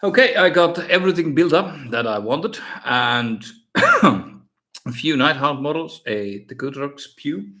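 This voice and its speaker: A man's voice: a little high, sing-song, measured pace, bright, forceful tone. A man with a Finnish accent, hosting a casual live stream Q&A and answering viewer questions with many fillers and restarts. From Finland. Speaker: miniature painting streamer.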